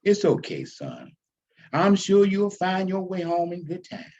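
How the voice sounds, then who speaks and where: A man voicing a kindly, fatherly, gently chiding pastor in a storybook reading. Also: high-pitched, huge pitch swings, unhurried, highs cut off, a little hiss or hum, full character voice. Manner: fatherly, preacherly.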